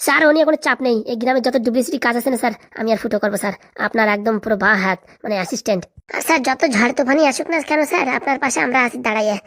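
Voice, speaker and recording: A woman's voice: on the high side, racing, a bit bright. A woman voicing an eager, fawning young male henchman in a comedy cartoon, chattering boastfully with a rural Bengali accent.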